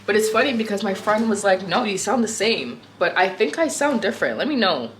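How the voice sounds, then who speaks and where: A woman's voice: medium pitch, expressive, brisk, reverberant, slight background noise. A young woman vlogging casually to camera, chatty and rambling, with a sore throat that makes her sound slightly nasal. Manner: chatty, rambling, self-correcting. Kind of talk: casual vlog chat to camera.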